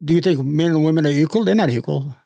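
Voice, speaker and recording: Brief: blunt debating man on a call, gravelly, dry close sound. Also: a little high, fast talker, dark tone, no room sound. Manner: blunt, provocative, broken phrasing. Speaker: debate guest. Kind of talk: heated online debate.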